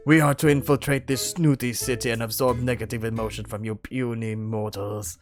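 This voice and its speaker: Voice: mid-range voice, little pitch movement, a little fast, faint music behind, close to an everyday voice. A storyteller voicing a tiny, young demon snake who is melodramatic, grandiose and easily offended.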